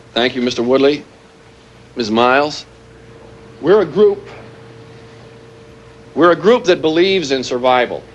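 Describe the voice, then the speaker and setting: An actor playing a grave, earnest former weapons planner turned militant, delivering a deliberate televised statement in a realistic drama. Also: male voice, mid-range voice, highs cut off, lightly acted. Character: grave male former weapons planner turned anti-nuclear hostage-taker.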